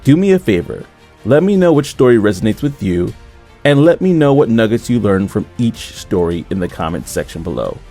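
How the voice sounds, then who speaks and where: Male podcast host, low and lively, upbeat presenter read. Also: a little fast, faint music behind. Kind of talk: podcast intro and interview.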